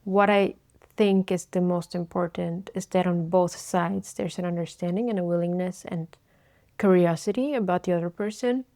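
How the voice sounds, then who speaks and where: Reflective woman in her thirties, lower voice. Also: steady tone, moderate pace, warm tone, no room sound, studio quality. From Bulgaria. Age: 30s. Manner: thoughtful, searching for words, many "like" fillers. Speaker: vlogger. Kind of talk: reflective personal update.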